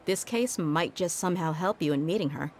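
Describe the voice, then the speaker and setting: A woman's voice: lowish voice, fairly quick, a little hiss or hum, lightly acted. A woman playing a young, streetwise mercenary in a video game, casual, frank and confident. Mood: explaining. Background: game music.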